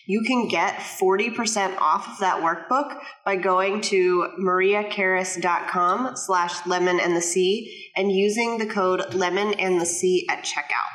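A woman's voice: medium pitch, fairly even intonation, a little slow, few pauses, fairly bright tone, roomy sound, rough recording. A woman hosting a podcast, reading a friendly scripted intro and asking questions, recorded in her new home office. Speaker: podcast host.

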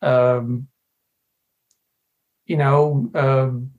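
A man in his fifties with an Arkansas accent, a podcast guest speaking thoughtfully and in measured phrases with frequent pauses. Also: mid-range voice, little pitch movement, narrow, tinny audio. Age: 50s. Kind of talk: reflective podcast conversation.

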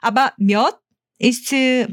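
A native Korean woman with a Korean accent, co-hosting a conversational language lesson as the teacher, often affirming and speaking halting German. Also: a little high, dry recording, clean studio sound.

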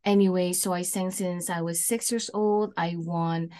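A middle-aged woman with an Indonesian accent chatting casually as an interview guest, speaking haltingly and often repeating her words. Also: fairly low pitch, fairly even intonation, mellow. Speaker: podcast guest, singer and MC.

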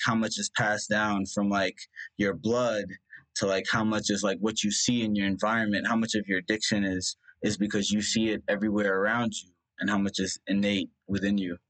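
A man's voice: lowish voice, steady tone, fairly quick, a bit bright, low-quality recording. A young man from India whose first language is Punjabi, a guest thinking aloud about his past in a rambling way, full of fillers and restarts. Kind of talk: reflective personal conversation.

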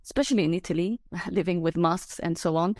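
A young woman hosting a podcast, with a low, soft voice; she chats casually, laughing often and hesitating with fillers. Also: fairly quick, dry recording, studio quality. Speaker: podcast host. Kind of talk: casual conversational chat.

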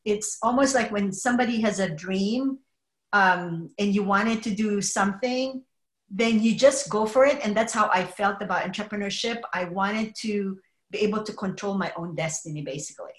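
A middle-aged woman from the Philippines speaks as a podcast guest. She talks in an earnest, measured way, often pausing with small fillers. Her voice carries a noticeable room echo. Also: medium pitch. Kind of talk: casual interview conversation.

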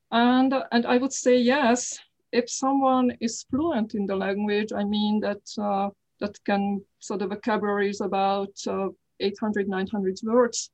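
A middle-aged woman from Hungary with a Hungarian accent, talking as an interview guest in careful, halting English with frequent restarts. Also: mid-range voice, a little mellow, rough recording. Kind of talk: casual interview talk.

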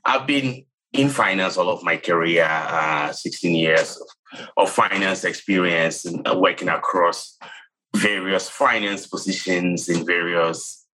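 A man's voice: sits low, a little slow, pushed and bright, slight background noise, low-quality recording. A man in his late thirties with a Ghanaian accent, a CFO telling his career story in an interview, thoughtful, restarting often and saying "you know". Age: late 30s. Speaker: CFO guest.

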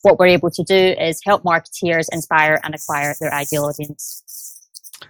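A young woman with a Glaswegian accent, a sales rep and guest on a podcast, talking in a friendly, lively way. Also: low-pitched, normal speed, no echo, faint music behind, low-quality recording. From Scotland. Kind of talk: podcast interview.

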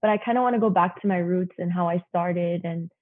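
Woman, early twenties, youthful husky voice, chatty guest, heard over a tinny line. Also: fairly low pitch, a little fast. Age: 20s. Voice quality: young-sounding voice. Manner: chatty, frequent "you know" fillers. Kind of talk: casual interview talk.

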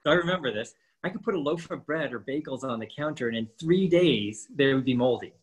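Man in his fifties, husky voice, casual podcast talk, keeps asking "right?". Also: medium pitch, brisk. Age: 50s. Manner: animated, explains with rhetorical questions and "right?".

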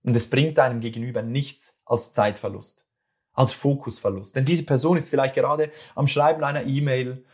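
A man's voice: medium pitch, steady tone, normal speed, darkish tone. A man with a Swiss German accent, talking earnestly to the listener in a motivational monologue, often restarting and repeating phrases. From Switzerland. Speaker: speaker giving communication advice.

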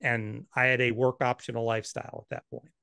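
A man's voice: mid-range voice, level tone, quick pace, creaky, sharp tone, clear, resonant tone, dry recording, studio quality. A man in his fifties, an author and financial planner, answering interview questions in a blunt, candid, self-deprecating way. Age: 50s.

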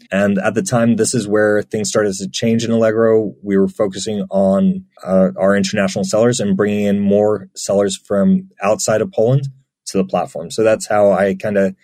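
A man giving relaxed, chatty answers as an interview guest, often restarting his sentences. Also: fairly low pitch, dry recording. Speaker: guest, marketplace team member.

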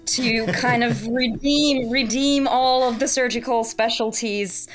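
A woman's voice: fairly high pitch, steady tone, slow pace, faint music behind. A woman in her thirties from Serbia, a surgeon guest chatting casually on a podcast, thoughtful and hesitant with many ums and restarts.

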